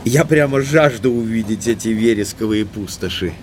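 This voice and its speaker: An actor playing an eager young baronet, heir to ancestral moors, in audio drama dialogue. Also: male voice, lowish voice, moderate pace, slight background noise, natural voice. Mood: eager, wistful.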